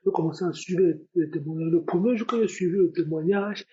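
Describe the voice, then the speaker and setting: A man's voice: high-pitched, a little fast, dark tone, highs cut off, slight background noise. A young man, a student, telling his religious testimony with lots of repetition and emphatic retelling. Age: young adult. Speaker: testimony giver.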